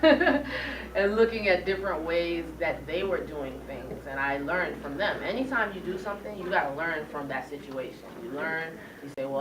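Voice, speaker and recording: Low-voiced young woman, a bit far off. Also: background noise. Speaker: radio host being interviewed. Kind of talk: conversational interview answers for young students. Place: indoors in a room. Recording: distant mic, music behind. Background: music.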